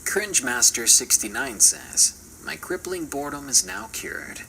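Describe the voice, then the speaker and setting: A man's voice: mid-range voice, animated, measured pace, pushed and bright, a little hiss or hum, poor audio. A man doing scripted, theatrical narration for a horror channel in a playful, dramatic manner.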